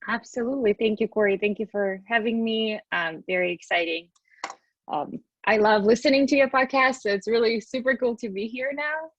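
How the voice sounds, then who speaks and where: A woman's voice: fairly high pitch, normal speed, muffled recording, slight background noise. A woman in her thirties with a Russian accent, telling her story casually and expressively as a podcast guest, in a chatty way. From Western Siberia, Russia.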